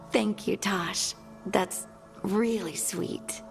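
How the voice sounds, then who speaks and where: A woman's voice: mid-range voice, sing-song, drawn-out words, creaky, heavily acted. A woman voicing a sweet, teasing young heroine in love in a fantasy video game. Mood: sweet, pleased. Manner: teasing, affectionate. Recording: game audio with faint music behind.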